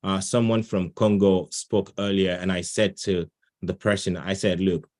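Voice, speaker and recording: Guy with a low voice hosting a video call, chatty and informal, thanks people a lot. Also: darkish tone. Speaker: session host and moderator. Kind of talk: informal, conversational moderating of an online session.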